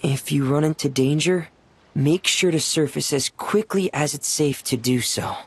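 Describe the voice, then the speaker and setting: A man voicing a shy, polite and earnest young diver in a video game, soft and gently hesitant. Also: a little high, measured pace, slight background noise. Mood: earnest, careful. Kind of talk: video game character acting.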